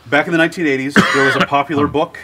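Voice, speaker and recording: A man hosting an interview in a sound booth, running a jokey quiz game with playful deadpan punchlines. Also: on the high side, very fast, heavy background noise. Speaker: host.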